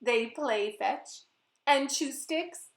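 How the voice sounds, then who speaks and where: A woman reading a picture book aloud to children in an expressive way, speaking to her young listeners directly. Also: sits high, drawn-out words. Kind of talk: animated children's story read-aloud. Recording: roomy echo.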